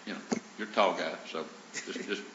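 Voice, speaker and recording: A man with a very low voice presides as judge, cutting in with short, pointed questions. The recording is roomy and dull, with clear background hiss. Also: steady tone, a little fast. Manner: terse, questioning, repeats words. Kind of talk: presiding over a hearing. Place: courtroom.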